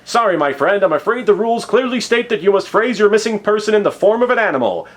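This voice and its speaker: A man's voice: sits high, expressive, fast talker, voice pushed up. A man voicing a manic, cartoonish pet detective with exaggerated, theatrical mock voices in a comedic story reading. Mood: loud, mocking. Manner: exaggerated, lurching between mock voices and impressions. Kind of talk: comedic fan fiction reading.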